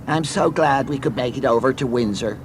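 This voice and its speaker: A man's voice: mid-range voice, a little fast, noisy background, pitched above the performer's own voice, close to an everyday voice. A man voicing a sweet, doting American woman tourist for a storybook, gushing and affectionate. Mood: gushing, fond. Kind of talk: storybook character voice.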